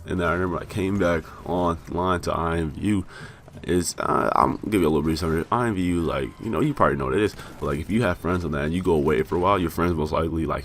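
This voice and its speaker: Young American man vlogger, raspy, low-voiced, rambling profane storyteller. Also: fairly bright tone, slight background noise. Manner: rambling, profane, self-mocking with laughs. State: raspy from being high. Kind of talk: casual storytelling.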